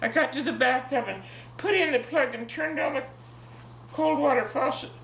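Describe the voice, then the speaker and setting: Jovial, creaky-voiced woman of ninety. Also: high-pitched, normal speed. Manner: jovial, expressive. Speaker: grandmother telling a family story. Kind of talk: casual reminiscing and animated story reading for family. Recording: echoey, distant home recording with faint noise.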